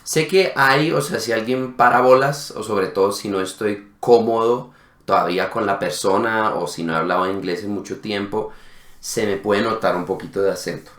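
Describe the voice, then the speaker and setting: A man from Colombia with a Colombian accent, co-hosting a casual conversation and explaining in a relaxed, agreeable way. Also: fairly low pitch, moderate pace. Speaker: co-host. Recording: echoey room.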